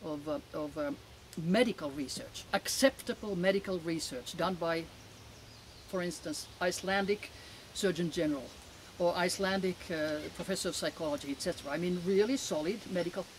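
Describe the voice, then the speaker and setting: A woman in her seventies with a Finnish accent, giving emphatic, halting testimony as an interview guest outdoors. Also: lowish voice. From Finland. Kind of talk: conversational interview answers, personal testimony. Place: outdoors in a garden. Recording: clear outdoor background noise.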